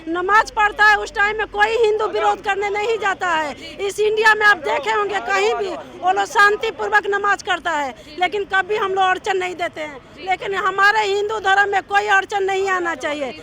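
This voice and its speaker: A woman protester speaks defiantly in an extremely high, sharp voice with a gravelly edge. Her delivery is emphatic and impassioned, as in a street interview. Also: fairly even intonation, moderate pace, few pauses. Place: outdoors on a city street.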